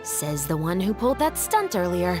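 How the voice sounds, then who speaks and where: A firm, dutiful patrol captain. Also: female voice, lowish voice, very animated, moderate pace. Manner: firm, official, dryly wry. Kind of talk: game voice acting. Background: game music.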